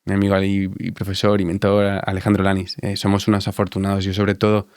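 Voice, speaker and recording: A man in his thirties with an Andalusian Spanish accent, answering interview questions in a reflective, halting way with many fillers. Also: low voice, completely flat delivery, darkish tone, smooth, dry recording, studio quality. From Spain. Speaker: dentist guest.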